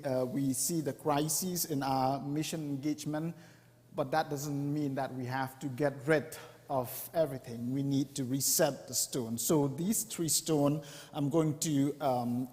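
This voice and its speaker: A man, speaking in a slightly raised register. He explains his points earnestly and often pauses to find the next word. His voice carries a long reverb through a church hall. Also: fairly high pitch, a little mellow. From India. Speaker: mission speaker. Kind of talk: church presentation talk.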